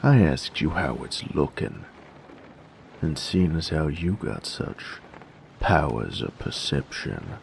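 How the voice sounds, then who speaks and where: A man playing a weary, sardonic knight in a fantasy audio drama, grumbling and teasing in a gravelly, folksy drawl. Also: big pitch swings, slow, slight background noise, full character voice. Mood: irritated, sarcastic. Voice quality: gravelly, very deep.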